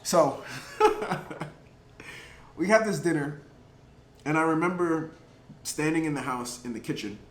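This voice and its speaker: Casual male vlogger, filler-heavy, animated storyteller, echoey room. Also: fairly high pitch, a little slow, pauses often. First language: English. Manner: chatty, lots of "like" and "um" fillers, laughs between thoughts; animated, gets louder at exciting moments. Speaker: vlogger telling a personal story. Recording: roomy echo, faint background noise.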